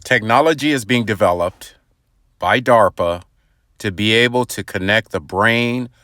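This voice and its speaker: A man's voice: on the low side, measured pace, a bit bright, dry recording, studio quality. A man hosting an online commentary, explaining things directly to his audience. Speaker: host commentator.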